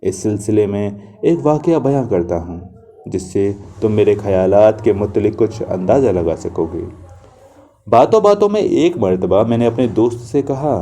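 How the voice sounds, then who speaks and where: A man narrating a literary short story in the first person, reading in a measured, reflective manner. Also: fairly low pitch, animated, warm tone, a little hiss or hum.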